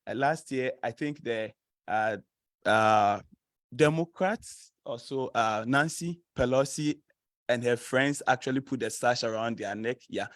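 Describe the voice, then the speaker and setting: A man's voice: medium pitch, a little slow, fairly bright tone, dry recording, studio quality. Laughing Ghanaian man presenting casually. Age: young adult. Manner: chatty, laughs often, many fillers. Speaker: student presenter. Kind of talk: informal presentation to an audience.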